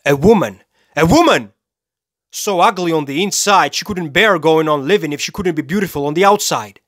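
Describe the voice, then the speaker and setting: An actor playing a cold, self-righteous serial killer in a dramatic film monologue, speaking deliberately and with menace, pausing for effect. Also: male voice, mid-range voice, a bit bright, no room sound, studio quality, lightly acted. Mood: indignant, contemptuous. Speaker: actor performing a movie monologue.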